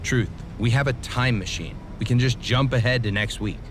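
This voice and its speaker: Dry, weary masked wrestler, a man acting, mid-pitched. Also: moderate pace, noisy background, close to an everyday voice. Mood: exasperated. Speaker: wrestler character in a game story mode. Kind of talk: video game cutscene acting.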